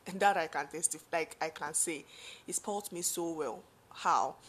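Deep-voiced Nigerian woman telling a story. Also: normal speed, fairly bright tone, breathy edge. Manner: chatty, full of fillers and asides. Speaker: vlogger. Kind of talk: personal storytelling to viewers.

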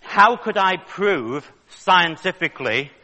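A man's voice: high voice, expressive, slow pace, bright, forceful tone. A man in his fifties from the north of England, giving a joking, chatty lecture to an audience. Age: 50s. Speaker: creationist speaker. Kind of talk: public lecture. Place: large lecture hall. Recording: long reverb of a large hall.

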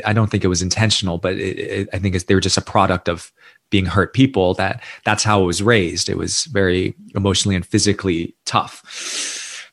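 A man from New York City, an interview guest telling his personal story in a reflective, earnest and measured way. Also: on the low side, a bit bright.

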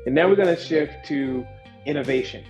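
A man's voice: on the high side, normal speed, darkish tone, faint music behind. A man hosting a panel discussion, upbeat and joking as he moderates over a video call. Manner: playful, joking, enthusiastic. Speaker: panel host. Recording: echoey, dull room sound.

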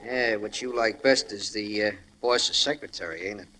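An actor in an old radio crime drama playing a nosy household butler, insinuating in folksy working-class slang with hesitant pauses. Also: male voice, on the low side, bright, forceful tone, slight background noise, low-quality recording. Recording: old-time radio broadcast recording.

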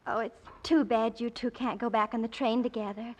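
A woman's voice: on the high side, flat delivery, normal speed, a little mellow, lightly acted. An actress playing a naive, friendly young girl in an old radio drama, chatty and guileless. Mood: chirpy, innocent. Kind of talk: 1940s radio drama acting.

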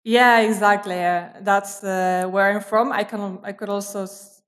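A woman's voice: medium pitch, steady tone, unhurried, a bit bright. Woman, hesitant with many fillers. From Argentina. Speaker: guest. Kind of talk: casual interview conversation.

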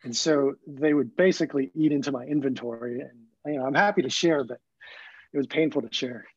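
Husky man in a roomy, dull recording. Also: medium pitch, fairly quick. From Hong Kong, then the California Bay Area from age ten. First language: not English, learned it at about ten. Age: 40s. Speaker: interview guest. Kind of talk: reflective personal storytelling in a conversational interview.

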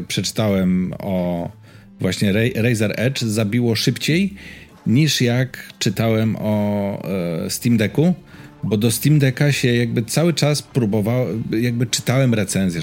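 Halting, low-voiced man chatting about tech. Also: darkish tone. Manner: halting, stammering, restarts words often. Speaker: podcast co-host. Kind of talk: casual tech and gaming chat.

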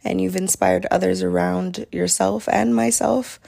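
A young woman hosting her own podcast in a sincere, chatty solo talk, her voice a little raspy today, with frequent "um"s. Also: medium pitch, steady tone, a little slow, warm tone. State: slightly raspy voice. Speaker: podcast host.

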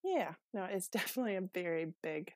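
A woman's voice: fairly low pitch, expressive, brisk, darkish tone, no room sound, studio quality. A young woman from Manitoba with a Canadian accent, chatting casually as a podcast guest, with frequent ums and light laughs. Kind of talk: casual interview chat.

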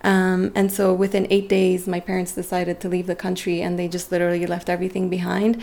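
A woman's voice: lowish voice, monotone, fairly quick, vocal fry, a little mellow. A woman in her forties, a native French speaker, talking earnestly and reflectively as a guest in a conversational interview. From Lebanon and Montreal, Canada. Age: 40s. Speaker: interview guest, real estate agent. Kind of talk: conversational personal interview storytelling.

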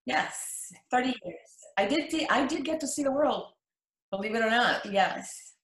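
A woman's voice: on the high side, expressive, moderate pace, a bit bright. Older woman from Cuba, echoey sound. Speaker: interview guest, retired flight attendant. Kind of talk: recounting life story in casual interview.

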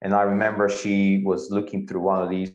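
A middle-aged man from Bulgaria with a Bulgarian accent, telling a personal story deliberately and emphatically as an interview guest. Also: low voice, level tone, highs cut off. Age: 40s. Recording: echoey room.

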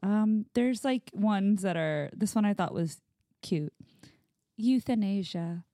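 A woman co-hosting a casual podcast in a relaxed way, reading out listener comments. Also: medium pitch, slow pace, no room sound, studio quality. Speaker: podcast co-host.

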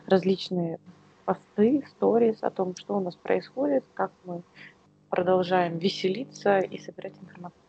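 A woman from Ukraine co-hosting a casual podcast, speaking in a halting, searching way. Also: mid-range voice, vocal fry, dark tone, breathy edge, highs cut off. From Kyiv, Ukraine. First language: Russian. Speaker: podcast co-host.

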